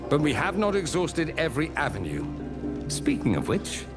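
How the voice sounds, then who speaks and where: An actor playing an erudite, wry mage scholar in a fantasy video game, eloquent and theatrical. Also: male voice, fairly high pitch, huge pitch swings, normal speed. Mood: wry, thoughtful. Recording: loud music behind.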